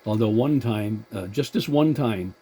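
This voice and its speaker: A man chatting casually as a CB radio operator, in a halting way that repeats words. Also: on the low side, mellow, breathy edge. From Atlantic Canada.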